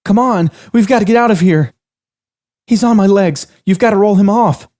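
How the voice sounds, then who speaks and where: Guy doing a scared young waitress, high loud pleading, soft dark tone. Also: normal speed, close to an everyday voice. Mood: panicked. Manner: frantic high-pitched shouting. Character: panicked young woman waitress, pinned down.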